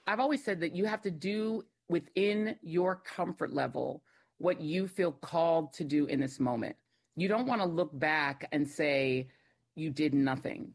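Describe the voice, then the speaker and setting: A woman's voice: low voice, creaky. A middle-aged woman guest, a former TV host, speaking confidently and directly. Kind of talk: panel interview.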